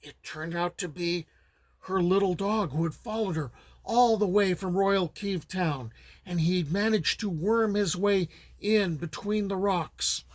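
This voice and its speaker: Middle-aged american guy reading old folk tales, kinda high voice, super dry close mic, easygoing pace. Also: dry recording. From United States. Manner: plain, unhurried storytelling voice with small restarts. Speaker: storyteller and channel host. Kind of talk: folktale narration.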